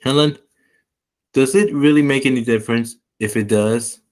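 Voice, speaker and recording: A man playing a gentle, bashful middle-aged salesman in a 1940s-style radio play, with a hesitant, measured delivery. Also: mid-range voice, close to an everyday voice. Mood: sheepish confessing.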